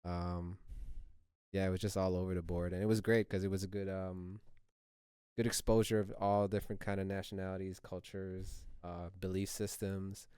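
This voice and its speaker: Young male guest, deep and flat, easygoing storyteller, dry close mic. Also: normal speed, clean tone, no room sound. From Saudi Arabia. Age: young adult. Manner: relaxed, rambling, easygoing. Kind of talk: casual conversational testimony.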